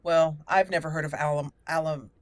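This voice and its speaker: A woman's voice: sits low, fairly even intonation, a little fast. A woman hosting a gameplay video, speaking her character's lines aloud and adding her own commentary. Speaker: gameplay host. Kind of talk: let's play commentary with in-character roleplay. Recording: music behind the voice. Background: game music.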